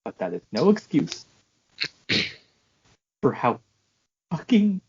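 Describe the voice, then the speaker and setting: A man's voice: high voice, big pitch swings, drawn-out words, creaky, breathy edge, highs cut off. A man co-hosting a podcast, chatting casually about films in a joking, profane way. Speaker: podcast co-host.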